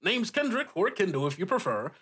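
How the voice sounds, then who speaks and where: A man with a high voice hosts a tabletop podcast as game master. His delivery swings widely in pitch, with playful, theatrical banter that often breaks toward laughter. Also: very animated, brisk, a bit bright, slightly rough. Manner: jokey, theatrical, often laughing through words.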